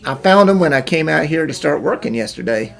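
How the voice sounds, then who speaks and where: A man's voice: on the high side, expressive, a little fast, vocal fry. A man playing a humble, anxious wheat farmer with a rural country accent in a game fan dub. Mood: earnest. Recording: music behind the voice.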